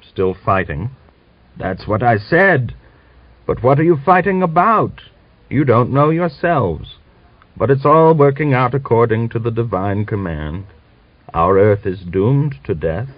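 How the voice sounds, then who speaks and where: A man voicing a pious, bitter old man of nearly a hundred, who scolds and preaches and reads scripture slowly and solemnly. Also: medium pitch, no room sound, voice pushed up. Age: older. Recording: dull dry recording.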